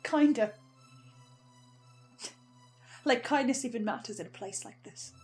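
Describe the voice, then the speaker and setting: A woman playing an anguished, obsessive horror fan in a dramatic monologue, speaking intensely and haltingly with repeated words. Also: on the high side, darkish tone, soft background music, close to an everyday voice. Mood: conflicted, bitter.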